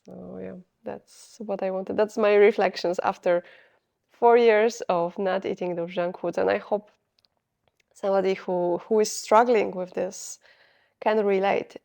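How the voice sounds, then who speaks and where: Gravelly-voiced Polish-accented woman rambling. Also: medium pitch, fairly even intonation, unhurried, a little mellow. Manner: rambling, searching for words, self-deprecating. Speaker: vlogger. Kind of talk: unscripted casual vlog talk.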